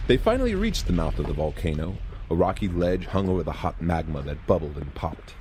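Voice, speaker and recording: A man's voice: low voice, sing-song, brisk, darkish tone. A man giving scripted, dramatic narration for an audio story. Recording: faint background noise.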